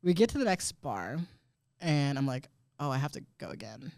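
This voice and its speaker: A man's voice: medium pitch, sing-song, moderate pace, vocal fry, darkish tone, no echo, studio quality. A man co-hosting a podcast, chatting casually and rambling through stories with frequent laughs. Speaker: podcast co-host. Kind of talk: casual comedic chat.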